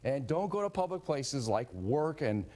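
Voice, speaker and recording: A man's voice: mid-range voice, very animated, moderate pace, mellow. A man anchoring a TV newscast in an emphatic, plain-spoken way. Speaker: news anchor. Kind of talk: broadcast news presentation.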